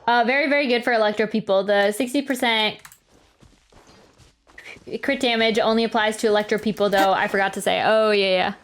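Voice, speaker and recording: A woman's voice: mid-range voice, fairly even intonation, fairly quick. A woman streaming a game, chatting casually and rambling as she thinks aloud. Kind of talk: casual live stream commentary. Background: game music.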